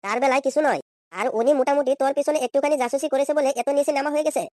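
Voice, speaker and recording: A man's voice: very high voice, fairly even intonation, rapid-fire, darkish tone, no room sound, studio quality. A man voicing an exasperated, insistent husband in a comedy cartoon. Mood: reproachful. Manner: insistent, questioning, reproachful. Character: exasperated, nagging cartoon husband questioning his wife.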